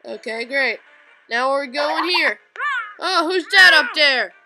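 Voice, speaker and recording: A high, youthful-pitched voice gives casual gameplay commentary with sarcastic, impatient asides. Loud video game music plays behind the speech throughout. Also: animated, slow. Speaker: let's play commentator. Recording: voice over loud game audio. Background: video game music and dialogue.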